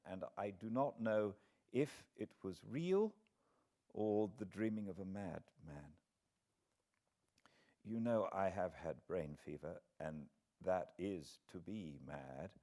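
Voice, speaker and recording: A man voicing a solemn, shaken Victorian fiancé in an audiobook, halting and deadly earnest. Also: low-pitched, a little mellow.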